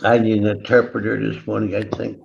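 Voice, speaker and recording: An American man on a casual live stream call, gruff and teasing with mock outrage. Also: lowish voice, little pitch movement, fast talker, a little hiss or hum. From United States. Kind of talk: casual chat. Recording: dull lo-fi call audio. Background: rooster crowing faintly.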